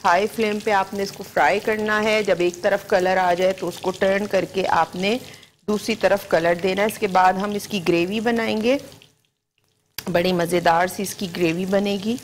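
A woman's voice: medium pitch, steady tone, normal speed, a little hiss or hum. A woman in her forties with a Pakistani Urdu accent, a TV chef patiently walking viewers through a recipe step by step in a studio kitchen, on a lapel mic. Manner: patient step-by-step instruction, repeats each ingredient. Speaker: TV cooking show chef. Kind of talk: live TV cooking demonstration.

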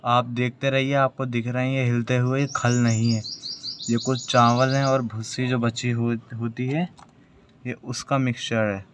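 A man's voice: mid-range voice, little pitch movement, moderate pace. A young adult man talking casually to viewers as a vlogger, explaining things step by step in an instructional way. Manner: instructional, addressing viewers directly. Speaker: vlogger keeping hens. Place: outdoor yard with plants and brick walls. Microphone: phone at arm's length. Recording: clear background noise, outdoor birdsong.

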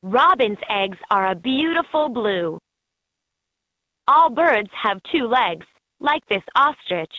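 A woman's voice: fairly high pitch, animated, slow, no echo. A woman reading scripted narration as the guide in a children's learning game, recorded as lo-fi toy audio. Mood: instructive.

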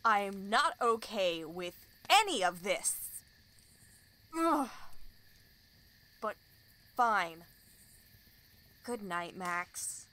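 A performer voicing a young woman's-pitched, cautious companion in an audio drama, worried and exasperated. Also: very animated, extremely slow, pauses often, pushed and bright, full character voice. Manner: protesting, exasperated.

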